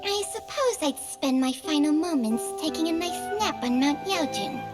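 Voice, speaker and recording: A woman voicing an ancient, weary little snake spirit in a video game, speaking solemnly in measured, old-fashioned phrases. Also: high-pitched, expressive. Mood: weary, resigned. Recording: music behind the voice.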